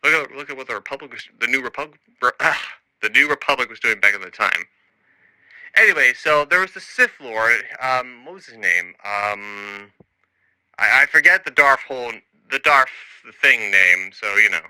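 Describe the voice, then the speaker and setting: A man's voice: mid-range voice, animated, moderate pace, pushed and bright, dry recording, low-quality recording. A man recording an improvised audio log as a storyteller, rambling and hesitant, often trailing off and losing his thread. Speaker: storyteller and narrator.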